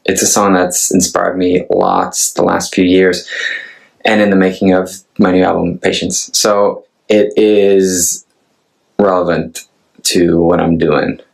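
A man in his thirties with a Norwegian accent speaks at home in a low, gravelly voice. He talks very slowly and thoughtfully, pausing often and restarting his sentences. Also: little pitch movement, low-quality recording. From Norway. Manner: hesitant and thoughtful, many restarts. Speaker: singer-songwriter. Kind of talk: casual chat to camera.

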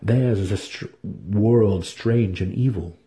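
A man voicing an eager, sly older dark elf brother in a fantasy audiobook, teasing, emphatic and fervent. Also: lowish voice, slow. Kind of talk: dramatic fantasy audiobook character reading.

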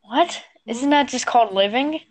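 A woman's voice: a little high, moderate pace, a crowd in the background. A young woman co-hosting a casual podcast, teasing her friends with sarcastic remarks. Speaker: podcast co-host. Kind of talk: casual joking chat.

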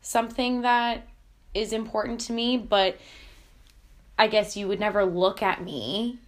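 A woman's voice: mid-range voice, steady tone, a little slow. A young woman who interviews in a casual talk, thoughtful and hesitant, with many "like" fillers. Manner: reflective, hesitant, heavy "like" fillers. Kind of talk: casual conversation.

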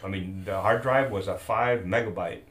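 A man's voice: on the low side, moderate pace, fairly bright tone. A man around seventy, raised in South America, chatting casually and rambling through stories with many restarts. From born in Peru, raised in Bolivia. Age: about 70. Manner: rambling, trails off and restarts mid-thought. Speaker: podcast guest. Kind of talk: casual chat, storytelling. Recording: roomy with faint noise.

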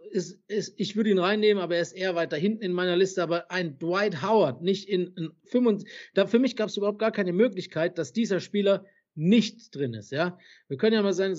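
High-voiced man, passionate, fast-talking. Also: a little mellow, muffled recording. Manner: passionate, rattles off stats, swears for emphasis; lots of fillers and tag questions. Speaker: podcast co-host. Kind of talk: casual basketball debate.

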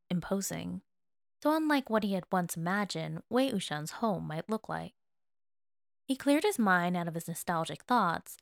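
A woman reading scripted fiction as narrator, in a measured, expressive manner. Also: on the low side, vocal fry, no echo, clean studio sound. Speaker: narrator, fan fiction reader.

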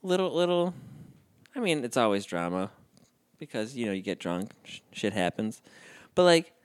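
A man's voice: mid-range voice, normal speed, clean tone, no room sound. A man in his thirties co-hosting a casual podcast chat, playful and joking. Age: 30s. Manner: playful, jokey, animated. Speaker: podcast co-host.

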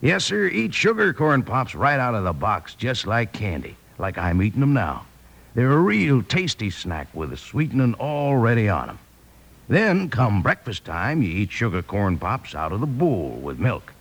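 An American actor playing a cheerful old cowpoke with a raspy voice and drawling, hearty sales patter in a radio advertisement. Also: male voice, medium pitch, huge pitch swings, normal speed, a bit bright, exaggerated voice. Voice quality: creaky, gravelly, raspy. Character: folksy old cowpoke cereal pitchman, cheerful.

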